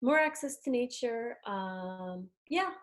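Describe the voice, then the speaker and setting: A woman from New York with an American accent, talking casually as an interview guest, chatty with hesitant pauses. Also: fairly high pitch, animated. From New York, United States. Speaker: interview guest, tarot deck creator and artist.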